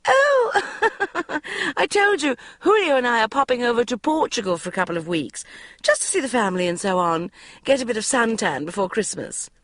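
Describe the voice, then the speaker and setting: A woman voicing a flighty, middle-aged mother who talks in a high-pitched, hysterical, gushing way. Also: sing-song, moderate pace, a bit bright, no echo. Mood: wheedling. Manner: breathless gushing, wheedling.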